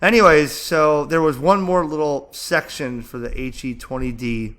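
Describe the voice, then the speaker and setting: A man with a bright, forceful voice pitched a little high. He talks like an enthusiastic hobby vlogger, casual and chatty, rambling along as he thinks out loud. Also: moderate pace. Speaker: amateur radio vlogger and host. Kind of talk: casual enthusiastic vlog commentary, browsing and narrating.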